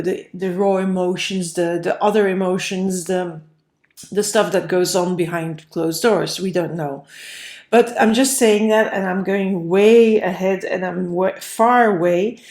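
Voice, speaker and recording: A woman's voice: on the low side, steady tone, unhurried, darkish tone. A woman giving a casual tarot reading to viewers in a rambling, conversational way, repeating phrases for emphasis. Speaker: tarot reader, host. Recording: roomy, echoey room sound.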